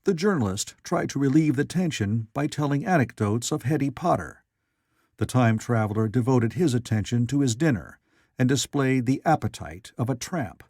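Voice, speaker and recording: A man's voice: mid-range voice, lively intonation, moderate pace, darkish tone, no echo, clean studio sound. A man reading classic literary fiction aloud as a scripted audiobook narrator.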